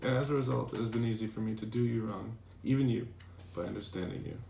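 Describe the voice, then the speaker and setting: Guy acting as a tender philosopher reading love letters, earnest n gravelly, kinda far from the mic, roomy with faint noise. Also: on the low side, quick pace, dark tone. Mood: reflective, reassuring. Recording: echoey room, distant mic, faint noise.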